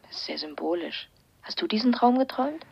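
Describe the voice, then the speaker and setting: An actress playing a dreamy, whimsical girlfriend with a husky, breathy voice in a German radio crime drama. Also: medium pitch, expressive, fairly quick, no room sound, muffled recording, heavily acted. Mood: curious, intimate.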